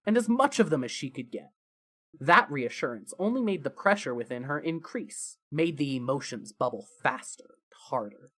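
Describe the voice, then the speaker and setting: A narrator reading fan fiction as dramatic scripted storytelling, with emphatic phrases that trail off softly. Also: mid-range voice.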